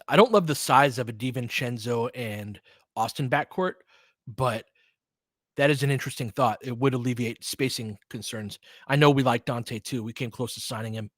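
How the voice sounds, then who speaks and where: A man's voice: mid-range voice, dry recording. Man talking basketball, close dry sound. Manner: conversational, opinionated, answering listeners by name. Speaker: host of a basketball audio play-by-play show. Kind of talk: casual live sports discussion with loose play-by-play.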